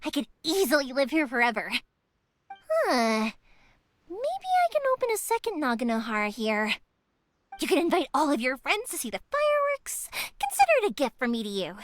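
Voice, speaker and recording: A woman voicing a cheerful, chatty young woman in a video game, with a girlish, expressive, earnest delivery. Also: high-pitched, moderate pace. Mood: amused, delighted. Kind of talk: video game character voice lines. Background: background music.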